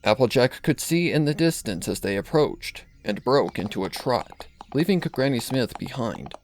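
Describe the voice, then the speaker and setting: A man's voice: mid-range voice, expressive, normal speed, faint music behind. A man reading scripted story narration as the narrator of a piece of fan fiction.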